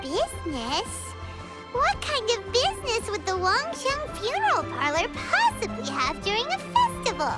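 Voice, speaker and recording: A woman's voice: very high voice, wildly swooping pitch, measured pace, heavily acted. A woman voicing a tiny, childlike, food-loving companion in a video game, full of exclamations. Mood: puzzled. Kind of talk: video game cutscene acting. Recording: music loud behind the voice.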